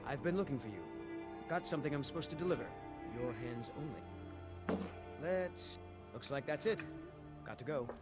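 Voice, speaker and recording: An actor playing a brisk, hurried young male courier in a fantasy video game. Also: mid-range voice, lively intonation, close to an everyday voice. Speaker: non-player character in a fantasy video game. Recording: game audio under loud music.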